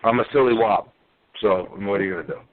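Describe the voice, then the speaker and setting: A man, co-hosting a casual sports podcast, animated, swearing and tripping over his words. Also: lowish voice, quick pace. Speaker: podcast co-host. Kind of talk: casual sports call-in chat. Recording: tinny phone-like line.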